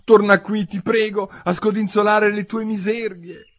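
A man's voice: medium pitch, big pitch swings, normal speed, breathy edge, voice pushed up. A man voicing a curious, naive little girl from a children's storybook, prim and earnest. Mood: pleading.

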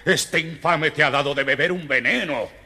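Gravelly, sly wicked prince. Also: male voice, on the high side, normal speed, bright, forceful tone, exaggerated voice. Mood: false, accusing. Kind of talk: children's audio storybook acting. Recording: long hall reverb.

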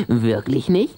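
A man's voice: mid-range voice, dark tone, a little hiss or hum. An actor playing a cheeky, bossy little boy vampire in a children's radio play, teasing and breezily commanding.

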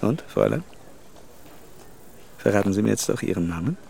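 An older ex-SS adventurer in a German radio drama. His voice is heavily creaky and gravelly. He speaks with suave, mocking gallantry and complete self-assurance. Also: lowish voice, wildly swooping pitch, moderate pace, warm tone, full character voice. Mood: charmed.

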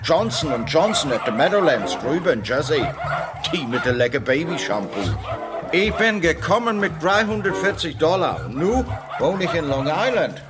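A man's voice: a little high, heavy background noise. A man playing a chatty immigrant worker with a foreign accent and broken, English-sprinkled speech in a radio drama. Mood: explaining, wry. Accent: foreign, broken German. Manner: animated, halting grammar sprinkled with English. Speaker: actor. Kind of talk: German radio crime drama acting.

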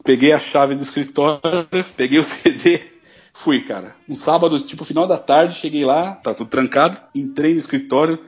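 A man's voice: fairly high pitch, moderate pace, slightly rough, dull audio. A Brazilian man in his forties telling personal stories in a casual podcast chat, using lots of slang and swearing. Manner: colloquial and slangy, peppered with swearing. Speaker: podcast co-host.

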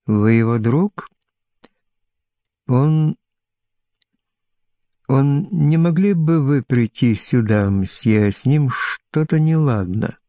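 A man's voice: medium pitch, animated, extremely slow, long pauses, warm tone, no room sound. A man voicing a frightened, polite young woman in audiobook dialogue, hesitant and anxious in manner. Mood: uneasy, frightened.

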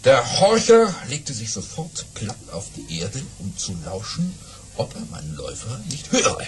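An actor playing a boastful baron who spins tall tales with dramatic pauses and exclamations in an audio play. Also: male voice, medium pitch, huge pitch swings. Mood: suspenseful storytelling. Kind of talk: German audio-play storytelling.

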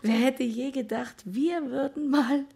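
A frank, devout middle-aged mother in a radio play. Her voice is husky with a creaky edge, and she talks expressively and plainly. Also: high-pitched, a little slow, dark tone, full character voice. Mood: amused, nostalgic. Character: frank ultra-Orthodox mother of a big family.

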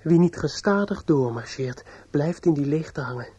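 An actor in a radio drama playing a despairing, obsessive judge's son who is outwardly polite and inwardly bitter. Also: male voice, fairly high pitch, expressive, warm tone, close to an everyday voice. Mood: bleak brooding. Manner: halting and hesitant with others, brooding and repetitive when alone. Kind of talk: radio drama acting with interior monologue. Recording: dull lo-fi old radio play recording.

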